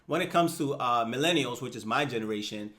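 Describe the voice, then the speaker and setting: A man in his thirties hosting a personal finance channel, talking straight to the viewer in a casual, upbeat way and checking in with "right?" and "okay?". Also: fairly quick. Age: 30s. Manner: animated, rises in pitch and loudness for emphasis. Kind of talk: casual explainer talking to camera.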